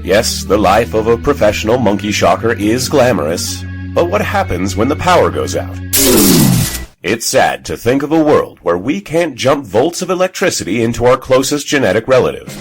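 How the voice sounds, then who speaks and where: Animated man in mock-sincere radio promo. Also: medium pitch, normal speed. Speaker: radio show host. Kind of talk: tongue-in-cheek scripted promo. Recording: music behind. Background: music.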